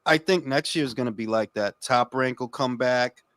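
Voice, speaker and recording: A man's voice: medium pitch, moderate pace, fairly bright tone, dry recording, clean studio sound. A Dominican man hosting a casual boxing chat, speaking in profane, emphatic slang and repeating himself for emphasis. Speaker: boxing talk show host.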